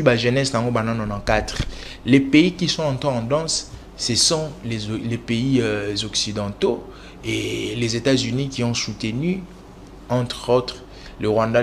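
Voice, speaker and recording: A man's voice: medium pitch, slight background noise. A man from the Congo speaking as a political analyst in an interview, lecturing with many fillers and restarts. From Congo (DRC). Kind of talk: interview answers.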